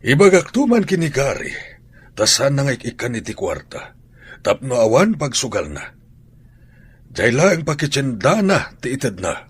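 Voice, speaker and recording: Older Ilocano family patriarch, radio drama. Husky, very animated, concerned and admonishing. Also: male voice, mid-range voice, measured pace, pauses often, dry recording, exaggerated voice. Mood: stern, worried. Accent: Filipino, Ilocano. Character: concerned older father and grandfather, chiding, protective.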